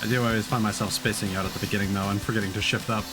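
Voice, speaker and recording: A man with a fairly low voice gives casual live commentary as a video game streamer. He talks in an informal, unscripted way. Music plays clearly behind his voice. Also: fairly even intonation, very fast, poor audio. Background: loud video game music.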